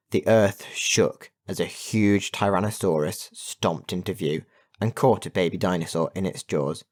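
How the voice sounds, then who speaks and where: A man reading a children's adventure story as an audiobook narrator, in an expressive storytelling manner. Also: low voice. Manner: expressive storytelling with put-on character voices.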